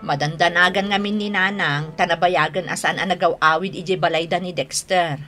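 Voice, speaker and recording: A woman's voice: on the low side, steady tone, brisk, bright, forceful tone, faint music behind. A woman playing a grown daughter fretting over family trouble in a radio drama, with an Ilocano accent and a sighing, complaining manner. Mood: troubled.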